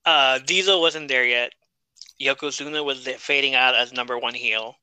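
A man's voice: medium pitch, moderate pace, pushed and bright, clear, resonant tone, no echo, rough recording. A man co-hosting a casual wrestling podcast, chatty and wry, often laughing at his own jokes. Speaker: podcast co-host.

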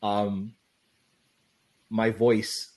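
A young man guest in a casual interview, talking loosely with lots of "like" and "right?", with a loud voice. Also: lowish voice, flat delivery, drawn-out words. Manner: loose, rambling, heavy on "like" and "right?".

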